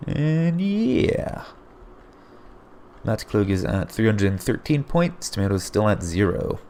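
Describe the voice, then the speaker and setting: A low, gravelly man with a hoarse edge calls a game replay play by play. He keeps jumping in with surprised exclamations. A faint hiss sits under his voice. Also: slow pace. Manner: excitable, frequent surprised exclamations. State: hoarse, voice getting raspy. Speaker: game caster.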